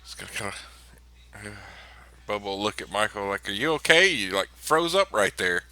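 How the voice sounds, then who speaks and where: Man, low pitch, intensely bright edge, casual role-play chat. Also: lively intonation, normal speed, no echo. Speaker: tabletop game player. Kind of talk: casual in-character game chat.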